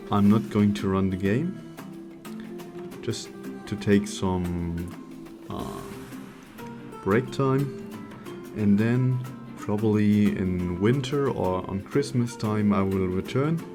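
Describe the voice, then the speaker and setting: A man with a German accent, a native German speaker, giving halting, unscripted commentary on gameplay as he searches for words. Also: on the low side. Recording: game music playing behind. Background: video game music.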